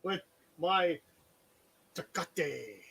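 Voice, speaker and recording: A man's voice: a little high, animated, drawn-out words, vocal fry, a bit bright. A man co-hosting a live stream in casual chat, laid-back and joking, laughing often. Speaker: stream co-host.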